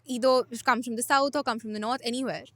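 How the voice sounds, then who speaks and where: Young woman, from Delhi, casual, hesitant restarts, fairly high voice. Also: fairly even intonation, quick pace, a bit bright, no echo. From Delhi, India. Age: young adult. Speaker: podcast guest. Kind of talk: casual group chat.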